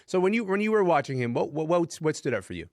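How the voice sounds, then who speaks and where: A man hosts a conversational panel with an animated, wide-ranging voice. He leans on phrases for emphasis. The sound is dry, with almost no room around him. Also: on the high side, fast talker, dry recording. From Sudanese descent. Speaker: host. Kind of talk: panel discussion hosting, conversational.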